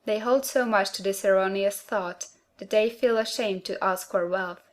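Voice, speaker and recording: A woman narrating a self-help audiobook in a measured, earnest way, articulating each phrase clearly. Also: mid-range voice.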